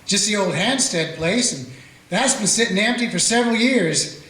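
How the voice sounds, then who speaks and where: A man playing a small-town Old West sheriff in a radio play, also narrating in a plain, calm folksy way. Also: high-pitched, moderate pace. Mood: steady. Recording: echoey room.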